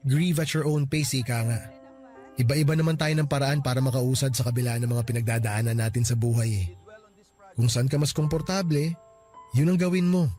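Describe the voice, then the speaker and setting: A man playing a gentle, reassuring male friend. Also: mid-range voice, brisk, close to an everyday voice. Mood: comforting. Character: supportive joking male friend of the grieving man. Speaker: close friend. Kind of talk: radio drama acting. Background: soft music.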